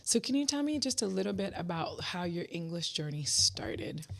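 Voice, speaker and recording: Brief: woman host with a lowish voice, guides an interview and recaps answers. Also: big pitch swings, moderate pace, creaky. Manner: encouraging, recaps guest's answers. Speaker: podcast host, educator. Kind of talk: podcast interview.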